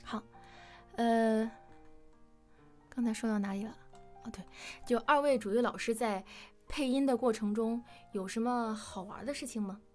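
A woman's voice: on the high side, moderate pace, long pauses, a little mellow. A woman hosting an informal live interview as the presenter of an online stream. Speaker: livestream host interviewing voice actors. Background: music.

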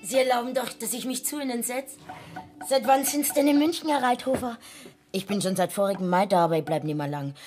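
A woman's voice: a little high, fairly quick, a little mellow, soft background music, natural voice. An actress playing a chatty, over-familiar streetwalker down on her luck in a radio drama, rambling on. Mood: chatty, plaintive.

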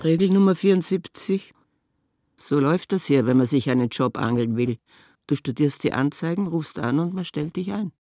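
An actor playing a sardonic storyteller in a rough urban radio drama. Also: male voice, a little high, animated, normal speed, dark tone. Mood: instructing. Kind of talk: radio play narration. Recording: clearly noisy outdoor ambience.

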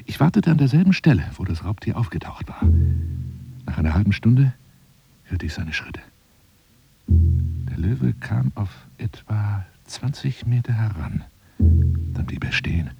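An actor playing a self-dramatizing, obsessive big-game hunter monologuing on the telephone in a radio play, theatrical and often dropping into breathy whispers. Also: male voice, lowish voice, dry recording, full character voice. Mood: hushed, suspenseful boasting. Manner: theatrical, swinging from hushed murmurs to agitated outbursts.

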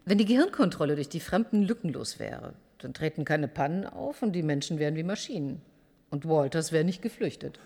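An actress in a German radio play, playing a thoughtful, determined woman researcher with a measured, earnest manner. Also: low voice, lively intonation, warm tone. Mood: thoughtful, intent. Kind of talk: German radio drama acting. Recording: long hall reverb.

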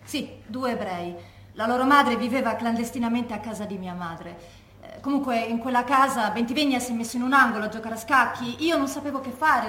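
An actress plays a former partisan woman looking back in a stage monologue. Her voice is fairly high, introspective and conversational, troubled as she remembers. The echoey room recording has faint noise behind it. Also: a bit bright, natural voice. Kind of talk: theatrical monologue as oral testimony.

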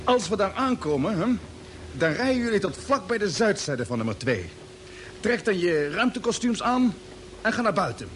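An actor playing a brisk, decisive spaceship captain in a radio drama, issuing orders with urgency. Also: male voice, sits high, big pitch swings, a bit bright. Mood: brisk, commanding. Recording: old radio play, vehicle noise.

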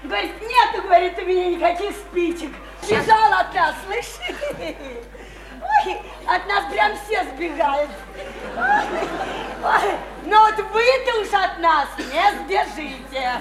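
Brash, flirty working-class widow, very high voice, slangy chatter, radio drama in an echoey room. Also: female voice, very animated, slow pace, noisy background, full character voice. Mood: chatty, excited. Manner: flirty, teasing, folksy and slangy. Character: brash, boozy, chattering widowed house painter, a woman. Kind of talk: theatrical radio play acting.

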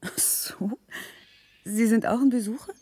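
A woman's voice: on the high side, unhurried, dark tone, slightly rough. An actress playing a naive, dawdling female visitor in a radio play, talking in a casual, hesitant, conversational way. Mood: casual, unworried. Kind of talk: naturalistic radio play acting.

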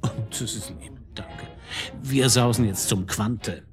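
A man's voice: on the low side, slow pace, soft background music. A man acting in a German radio drama as a senior tax investigator, dry and ironic, questioning in a wry, needling way.

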